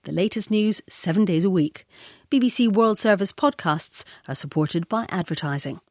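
A woman's voice: medium pitch, animated, moderate pace, darkish tone, no echo, clean studio sound. A woman reading a scripted podcast announcement.

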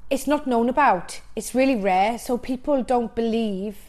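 A woman's voice: on the high side, moderate pace, warm tone. A woman with a Welsh accent, talking frankly and chattily in a news report interview. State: has a cold. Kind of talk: casual talk in a news report.

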